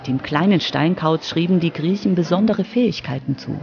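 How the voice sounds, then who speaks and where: A woman's voice: on the low side, normal speed, creaky, darkish tone, lo-fi sound, a little hiss or hum. A woman reading scripted narration as the reporter of a children's radio feature.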